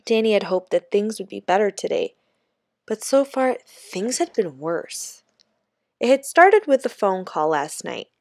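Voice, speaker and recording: A woman reading a children's story aloud like a teacher, in a measured, expressive narrating manner. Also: medium pitch, no room sound, studio quality.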